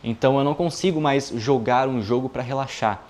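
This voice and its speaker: A man in his early twenties, a video editor, talking casually to his viewers in a relaxed, chatty way with frequent filler tags. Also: medium pitch, fairly quick. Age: 20s. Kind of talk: casual vlog monologue.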